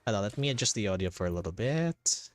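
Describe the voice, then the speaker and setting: A man's voice: lowish voice, expressive, fast, dry recording. A man doing casual, chatty live gameplay commentary, often repeating himself. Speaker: game streamer. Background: soft game music.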